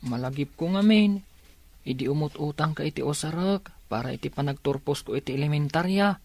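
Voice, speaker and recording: A woman's voice: low voice, fairly quick, warm tone. A woman with an Ilocano accent playing a worried, devoted child of an ailing mother in a radio drama, tentative and plaintive. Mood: doubtful.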